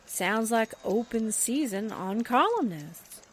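An actress playing a wry, loyal secretary sidekick in a theatrical 1940s radio-drama style. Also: female voice, on the high side, lively intonation, slow pace, slight background noise. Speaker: actor in an audio drama.

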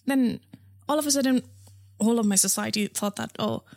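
A woman's voice: a little high, moderate pace, a little mellow, no echo, clean studio sound. A woman from Nepal, speaking as an interview guest in a frank, chatty way with many fillers and restarts. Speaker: interview guest, founder of a period underwear brand. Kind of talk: casual interview conversation.

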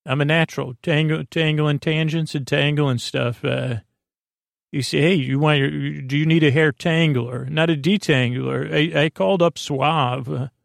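Creaky-voiced man rambling through a bedtime podcast. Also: a little high, steady tone, no echo, clean studio sound. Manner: meandering, halting, repeats and abandons phrases. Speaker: podcast host.

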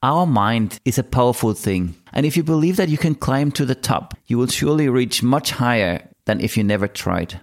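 A man hosting an interview show, speaking in a measured way and giving a motivational introduction. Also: mid-range voice. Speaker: podcast host.

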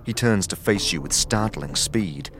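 A man narrating an audio drama in a steady, descriptive storytelling style. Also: low-pitched, unhurried, gravelly. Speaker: narrator and producer. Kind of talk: scripted narration. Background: faint music.

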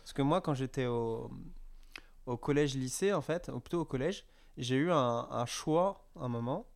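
A man's voice: mid-range voice, moderate pace, gravelly. A young man co-hosting a podcast, speaking French in an informal, slangy conversational style. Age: young adult. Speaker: podcast co-host. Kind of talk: casual conversation.

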